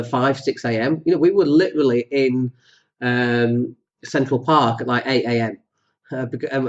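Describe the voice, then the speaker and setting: Man, podcast host, head cold, deeper voice than usual, rambling, lots of ums, dull lo-fi recording. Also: darkish tone. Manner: rambling, jokey, full of fillers and restarts. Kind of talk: solo podcast monologue.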